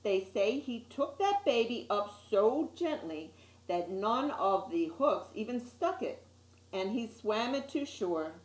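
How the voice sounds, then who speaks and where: A woman reading a children's picture book aloud as an expressive storyteller, with little asides to her listeners. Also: fairly high pitch, slow pace. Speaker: story time reader. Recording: echoey room.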